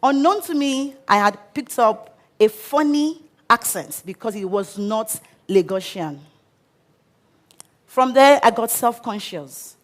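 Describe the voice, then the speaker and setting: A woman with a Nigerian English accent tells her life story from a stage in an expressive, dramatic way. Her voice sits a little higher than most women's. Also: slow pace. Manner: expressive, dramatic, acts out quotes. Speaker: speaker. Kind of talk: inspirational storytelling talk. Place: auditorium stage.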